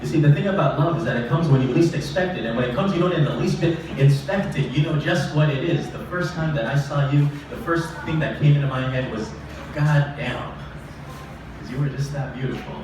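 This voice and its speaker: A man's voice: a little high, brisk. A young man performing spoken-word poetry live at a stage microphone, earnest and rhythmic, with casual asides. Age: young adult. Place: small live open-mic venue stage. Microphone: stage microphone, captured from a distance. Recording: very echoey live room, distant, noisy.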